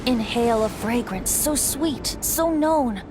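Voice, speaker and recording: High-voiced earnest mystical guide. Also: female voice, lively intonation, takes it slowly, noisy background. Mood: tender solemn chant. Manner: solemn, poetic incantation. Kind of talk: dramatic video game acting.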